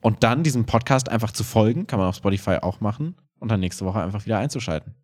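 A man's voice: lowish voice, expressive, fast, darkish tone. A man co-hosting a podcast in casual conversation, an improv teacher with a playful, joking way of talking. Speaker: podcast co-host.

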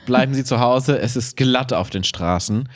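A man's voice: mid-range voice, moderate pace. A man co-hosting a podcast, chatting casually with playful irony. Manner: joking, playfully ironic. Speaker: podcast co-host. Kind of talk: casual chat.

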